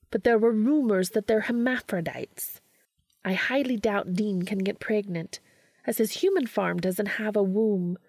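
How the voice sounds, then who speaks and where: A woman's voice: mid-range voice, darkish tone, no room sound, pitched above the performer's own voice. A woman voicing a haughty, exasperated centuries-old witch with an arch, scolding manner in a story reading.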